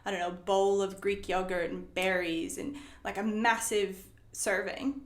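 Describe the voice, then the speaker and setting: A woman's voice: mid-range voice, steady tone, measured pace, roomy sound. Chatty Swedish-born woman, American accent. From Sweden. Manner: chatty, lots of fillers and likes. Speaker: guest. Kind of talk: casual interview chat.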